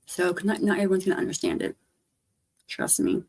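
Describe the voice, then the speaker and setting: Woman streamer, hoarse and gravelly, loose rambling chat, soft trailing delivery. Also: on the low side, big pitch swings, a little fast. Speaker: livestreamer. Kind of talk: casual livestream chat.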